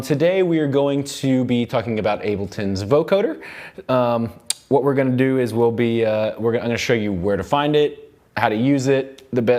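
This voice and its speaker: A man's voice: mid-range voice, darkish tone. A young adult man with an American accent, an instructor giving a casual live tutorial in a rambling way, often repeating and restarting phrases. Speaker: instructor, seminar host. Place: recording studio control room, seated at mixing console.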